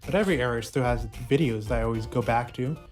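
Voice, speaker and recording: A man's voice: medium pitch, fast. A man narrating an informal video essay with casual opinions and some mild swearing. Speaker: video essayist reviewing a puppet show's history. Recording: music playing behind the voice.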